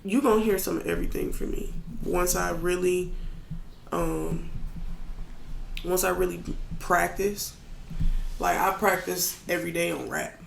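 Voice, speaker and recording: A man with a raspy, gravelly voice that sits fairly high for a man. He talks in a loose, candid way, as a podcast guest chatting about his craft. The sound carries an echoey room around it. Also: a little hiss or hum. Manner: relaxed, candid, loose with filler words. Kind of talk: casual chat.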